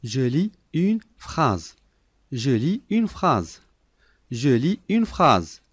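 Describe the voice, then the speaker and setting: A man reading short phrases slowly and clearly for learners, repeating each sentence three times. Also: mid-range voice, big pitch swings, dry recording, clean studio sound. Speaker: language lesson voice.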